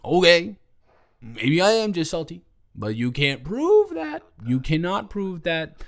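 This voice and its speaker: Man acting as a tipsy roommate, wildly swooping pitch. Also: very animated, a little slow, creaky, exaggerated voice. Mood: indignant, salty. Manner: slurred drunken rambling, stammering, exasperated. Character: drunk, rambling, defensive young male roommate secretly in love. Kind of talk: improvised roleplay audio drama.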